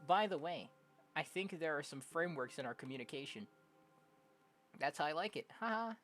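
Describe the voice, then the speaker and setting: A young streamer with a bright, forceful voice plays a visual novel and reads it aloud. The delivery is casual and jokey, swinging widely in pitch into loud exclamations, with game music underneath. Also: moderate pace. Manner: animated, jokey, exclaims loudly. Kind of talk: casual let's play, reading dialogue aloud.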